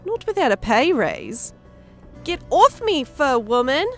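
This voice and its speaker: A man voicing a sharp, money-loving fantasy woman, dour and excitable, in an audiobook. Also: very high voice, measured pace, soft background music, pitched above the performer's own voice, exaggerated voice. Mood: dour, annoyed. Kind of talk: audiobook character voice.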